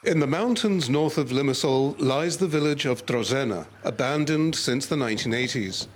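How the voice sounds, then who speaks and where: A man's voice: medium pitch, lively intonation, moderate pace, a little hiss or hum. A man narrating a scripted television news report as a correspondent.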